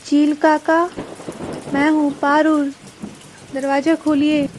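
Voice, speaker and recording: A woman plays a worried little mother bird in a children's cartoon, very high in pitch and slow in delivery. She sounds plaintive and pleading, with noisy rain behind the voice. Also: flat delivery, a little mellow. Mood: calling out, pleading. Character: anxious, pleading young mother bird, female. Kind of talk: dramatized Hindi children's cartoon story.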